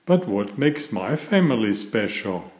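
A man reading aloud in a deliberate, expressive children's storytelling style, as a narrator and host. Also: fairly low pitch. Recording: roomy, echoing room sound.

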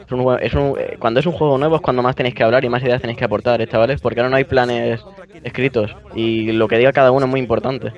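A man with a medium-pitched voice and an accent from Spain fires words at his teammates very rapidly, urging them on. The game voice chat sounds dull and narrow. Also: fairly even intonation. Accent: Spanish (Spain). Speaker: esports team player. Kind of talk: team voice chat during a game. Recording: dull band-limited team voice chat.

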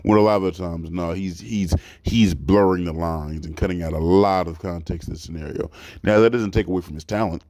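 A young man with a deep voice hosting a reaction podcast, talking in an animated, profane, rambling way from a small dark room. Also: a little fast, dry recording, clean studio sound. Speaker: reaction host. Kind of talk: casual reaction commentary.

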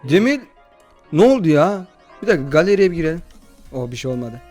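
A man hosting a horror game playthrough in slangy, excitable, casual chat, often addressing his viewers. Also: a little high, fast, soft background music. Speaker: gaming video host.

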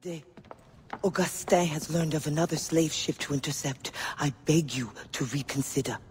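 An actress playing a proud, cautious, world-weary informant in a video game, with a husky, breathy voice, measured and weighty. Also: female voice, sits low, a little mellow, big-room sound, slight background noise, lightly acted. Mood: pleading, worried. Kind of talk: dramatic video game acting.

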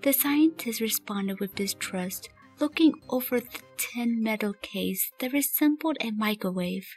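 A woman's voice: a little high, lively intonation, unhurried, darkish tone, husky. A woman reading scripted story narration as the narrator of a fan radio play. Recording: faint music behind. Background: faint background music.

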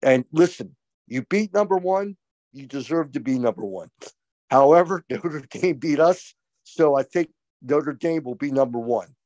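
An older man co-hosting a postgame lacrosse show over a video call, talking with emphatic, opinionated excitement. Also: on the high side, unhurried, dry recording. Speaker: co-host, college lacrosse analyst. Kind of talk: excited postgame sports talk. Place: small room indoors. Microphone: video call webcam.

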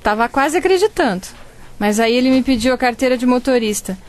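An actress playing a cheeky, sulky young adult daughter in a radio drama. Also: female voice, on the high side, expressive, brisk, close to an everyday voice. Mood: sheepish.

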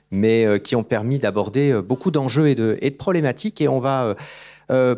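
Male moderator, mid pitch, courteous and a bit halting, conference stage. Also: darkish tone. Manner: polite, halting, hands over between speakers. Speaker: panel moderator. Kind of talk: moderating a panel. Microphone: handheld mic.

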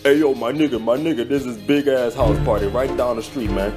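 A man's voice: medium pitch, fairly quick, loud music behind the voice. A brash man doing slangy comic voice-over dubbing.

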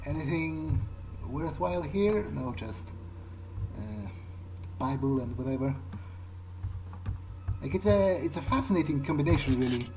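A man playing a cocky, sardonic vampire who thinks aloud haltingly in a video game playthrough. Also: a little high, animated. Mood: searching, muttering, then scornful amusement. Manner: musing, halting, muttered asides. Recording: clear background noise, game ambience.

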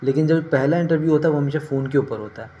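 A middle-aged man giving informal advice to camera, explaining in a measured way with pauses, recorded indoors on a phone. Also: fairly high pitch, warm tone, muffled recording. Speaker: career advice vlogger. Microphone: phone at arm's length. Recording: noticeable ambient background noise.

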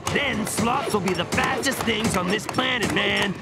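A man's voice: high-pitched, unhurried, loud music behind the voice. A man voicing a lazy, doom-saying slacker sloth in a cartoon, with laid-back, sighing talk that keeps tagging on "man". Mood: weary, explaining. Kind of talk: animated cartoon acting.

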